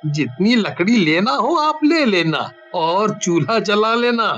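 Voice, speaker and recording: A man's voice: high voice, lively intonation, slow pace, fairly bright tone, faint music behind, heavily acted. An actor playing a plain-spoken village man in a horror story. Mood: friendly. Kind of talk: animated horror story dialogue.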